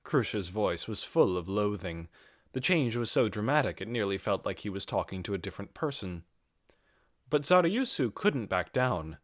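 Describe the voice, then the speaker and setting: A man reading a fantasy audiobook as narrator, in a measured and expressive dramatic storytelling style. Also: fairly low pitch, no room sound, clean studio sound.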